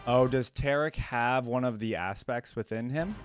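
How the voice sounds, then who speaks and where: A man's voice: medium pitch, moderate pace, faint music behind. A man hosting a reaction video, commenting casually on game voice lines and musing aloud with frequent questions.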